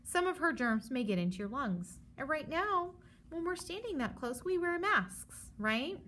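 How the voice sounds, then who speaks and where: Lively woman teacher reading aloud to children. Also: high-pitched, measured pace, slight background noise. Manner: explanatory and reassuring, checking in with listeners. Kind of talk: children's picture book read-aloud with explanatory asides.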